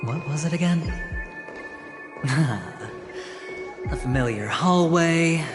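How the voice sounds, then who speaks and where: Suave, theatrical gambler with swooping pitch. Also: male voice, lively intonation, reverberant, over loud music. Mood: teasing, ominous. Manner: theatrical, insinuating, deliberate with dramatic pauses. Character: suave, theatrical, calculating male businessman and gambler. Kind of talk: video game voice acting.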